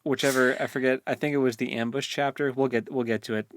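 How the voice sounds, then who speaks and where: A man's voice: mid-range voice, steady tone, fast, a little hiss or hum. A man co-hosting a podcast, discussing a book casually in loose, informal phrases. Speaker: podcast co-host.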